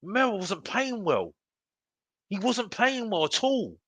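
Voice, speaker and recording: A man's voice: high-pitched, big pitch swings, unhurried, fairly bright tone, no room sound. A man hosting a live football fan show, ranting in an emphatic, repetitive, exasperated way. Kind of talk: live opinionated fan rant to viewers.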